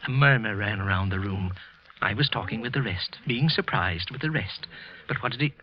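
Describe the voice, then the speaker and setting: An actor playing a frightened, guilt-ridden office clerk confessing in a halting 1940s radio suspense narration. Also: male voice, lowish voice, expressive, slight background noise, natural voice. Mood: fearful. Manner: tense, halting, repeating himself. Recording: muffled old radio recording.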